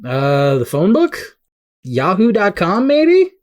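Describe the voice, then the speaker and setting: A woman acts an excitable, rattled teenage boy burdened with superpowers in an audio drama. The delivery is slow and emotional, with big pitch swings. The speech is casual and slangy. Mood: amazed.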